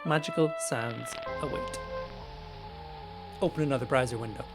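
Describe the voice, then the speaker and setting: A man's voice: mid-range voice, normal speed, over music. A man presenting a casual, conversational screen-share tutorial in an informal way, with small hesitant asides. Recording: clear background noise.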